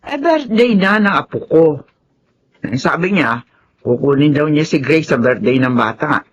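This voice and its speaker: A woman's voice: deep voice, expressive, measured pace, lo-fi sound. An actress playing a patient, peacemaking grandmother in a Filipino radio drama, soothing and motherly, with a Filipino accent. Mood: pleading, patient.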